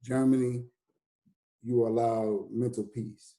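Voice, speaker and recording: An American man in his fifties talks through his memories in an easy, unhurried way. His voice is mellow and warm, and he laughs easily. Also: mid-range voice, level tone. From United States. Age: 50s. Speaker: DJ and hip house artist. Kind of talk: casual conversation.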